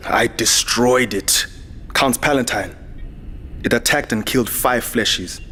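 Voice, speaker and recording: An actor playing a weary, hot-tempered military security chief in a science fiction audio drama, speaking in a terse, dramatic way. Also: male voice, mid-range voice, measured pace, pushed and bright, a little hiss or hum. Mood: grim, terse. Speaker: lead actor and first-person narrator. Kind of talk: audio drama acting and narration.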